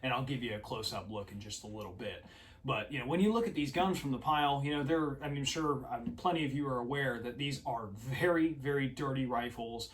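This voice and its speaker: Relaxed young man, distant and a bit noisy. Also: medium pitch, a little fast. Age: young adult. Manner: relaxed, informal, uses y'all. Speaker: co-host. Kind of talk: casual product talk to camera. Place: indoor office room. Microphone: far camera. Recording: distant mic, faint noise.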